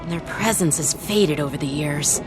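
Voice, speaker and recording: A young man voicing an earnest, dutiful young military lieutenant in a video game, speaking formally and with measured authority. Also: fairly high pitch, close to an everyday voice, poor audio. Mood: earnest, explanatory. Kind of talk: English video game voice acting. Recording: music behind the voice. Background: game music.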